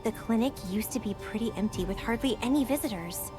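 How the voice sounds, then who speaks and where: Actress as an eager, high-voiced young helper. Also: female voice, expressive, fairly quick, lightly acted. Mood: earnest. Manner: earnest, enthusiastic. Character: eager, helpful young woman at a camp clinic. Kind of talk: video game voice acting. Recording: music playing behind the voice.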